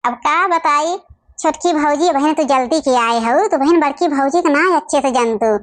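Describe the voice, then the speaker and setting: A woman's voice: sits high, moderate pace, close to an everyday voice. A woman with an Awadhi accent voicing a meddling village gossip in a cartoon family comedy, insinuating and stirring quarrels in homely speech.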